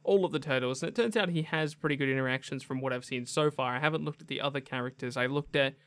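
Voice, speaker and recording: A young man with a medium-pitched voice gives gaming commentary. He is chatty and slangy, with an effusive, casual style suited to hosting videos. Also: quick pace, fairly bright tone.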